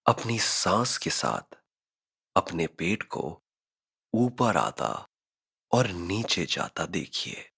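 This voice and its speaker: Slow, deep-voiced man guiding meditation. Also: big pitch swings, vocal fry, sharp tone, slightly rough. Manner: measured instructional delivery with frequent pauses. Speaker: guided meditation narrator. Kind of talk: scripted guided meditation narration.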